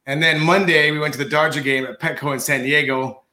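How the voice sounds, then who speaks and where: Hoarse male co-host, easygoing, tense bright edge, slightly high pitch. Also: fairly even intonation, a little fast. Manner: laid-back, heavy "like" and "you know" fillers. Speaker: podcast co-host. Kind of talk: casual chat. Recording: echoey room.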